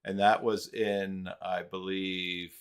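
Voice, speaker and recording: An older retired man hosting a casual video-call interview, relaxed and reading out viewer questions. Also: deep voice, slow, clean tone. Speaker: host.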